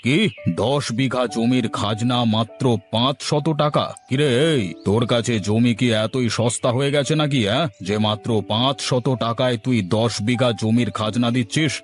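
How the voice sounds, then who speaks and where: A domineering landlord, voiced by a man, scolds and bullies in a steady mid-range voice. Soft music plays underneath. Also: mid-range voice, normal speed, close to an everyday voice. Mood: scolding. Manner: scolding, bossy. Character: greedy, domineering male landlord, middle-aged.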